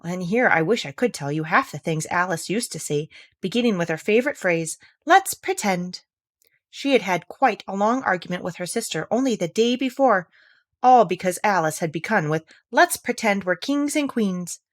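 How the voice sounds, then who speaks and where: A woman's voice: lowish voice, lively intonation, a little fast. A woman narrating a classic children's story as a scripted read-aloud. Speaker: narrator, audiobook reader.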